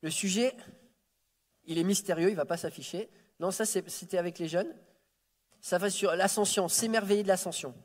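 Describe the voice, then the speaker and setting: A man's voice: high-pitched, normal speed, long pauses. A man, preaching a Sunday sermon as a guest, joking about himself and often repeating words as he restarts. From France. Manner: warm self-deprecating humor, frequent stammered repeats. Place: church sanctuary. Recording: reverberant church hall.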